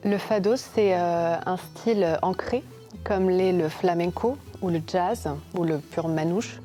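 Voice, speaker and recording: A fado singer, a woman from Portugal's Algarve, telling her story in an unhurried, reflective way in a documentary interview. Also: on the low side, dark tone, soft background music.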